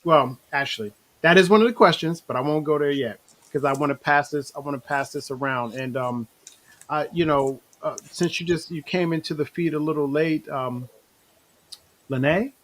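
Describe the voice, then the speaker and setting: A man's voice: a little high, normal speed, a bit bright. A man hosting a live online talk show, interviewing the cast of a stage play in a loose, chatty way. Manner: loose, restarting, addresses guests as y'all. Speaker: show host. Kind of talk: casual live-stream interview.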